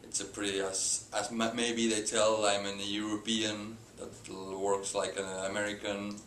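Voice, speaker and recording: Man, Spanish accent, middle-aged, halting speech, lots of fillers, echoey room, background hiss. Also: lowish voice, little pitch movement, fairly bright tone. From Spain. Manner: halting, many filler "uh"s and restarts. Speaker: company president, interview guest. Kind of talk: hesitant unscripted interview answers.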